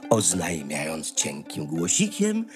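Very deep, slow male storyteller. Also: huge pitch swings. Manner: measured storytelling, dramatic pauses. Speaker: narrator. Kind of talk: scripted fairy-tale narration. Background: music.

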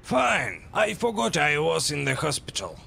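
An actor playing a gruff middle-aged male racer who mentors a younger driver. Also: on the high side, lively intonation, a little slow, exaggerated voice. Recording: game cutscene with loud music.

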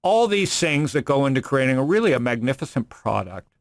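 A man's voice: medium pitch, sing-song, a little fast, no room sound. A middle-aged man hosting a casual podcast, rambling and joking irreverently. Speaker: podcast host and venture investor.

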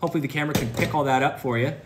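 A man's voice: a little high, very fast. A man in his thirties giving a casual welding lesson, explaining each step plainly. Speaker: welding instructor. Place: welding workshop, indoors. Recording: echoey workshop with background noise.

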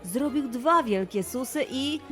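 A woman's voice: high voice, expressive, unhurried, over music. A woman narrating a scripted children's story expressively as the host.

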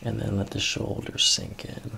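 A man's voice: on the low side, little pitch movement, normal speed, gravelly, bright, forceful tone, slight background noise. A middle-aged man working as a chiropractor, giving soothing, instructive directions to a patient during a hands-on exam. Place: small carpeted treatment office.

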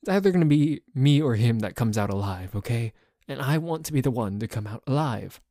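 A man's voice: mid-range voice, lively intonation, fairly quick, warm tone, no room sound, clean studio sound, lightly acted. A man playing a dorky, jealous boyfriend in an ASMR roleplay, complaining in a stammering, exasperated way that sometimes drops toward a whisper. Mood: exasperated, defensive. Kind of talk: ASMR roleplay audio drama.

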